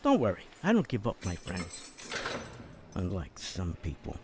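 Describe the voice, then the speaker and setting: A wry, persuasive jailed politician, lively delivery. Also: male voice, deep voice, measured pace, very noisy, full character voice. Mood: sardonic. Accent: American. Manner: wry, coaxing, avuncular, persuasive. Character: shrewd, smooth-talking jailed politician, older man, persuasive. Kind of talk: dramatic game cutscene acting.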